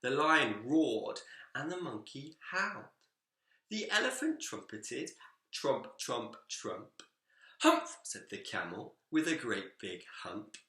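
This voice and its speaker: An echoey room carries a man's forceful, very bright voice. He reads to young children as a teacher would, with lively swings of expression and an unhurried delivery. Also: medium pitch. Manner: expressive, animated storytelling. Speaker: school story-time reader, teacher. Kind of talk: children's picture book read aloud. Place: indoors, seated in a reading chair.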